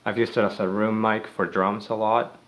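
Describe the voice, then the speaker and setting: A young man, a studio engineer with a deep bass-heavy voice, explaining gear in a loose, conversational way with many fillers. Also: flat delivery, moderate pace, a bit bright. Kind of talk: gear tutorial. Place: recording studio.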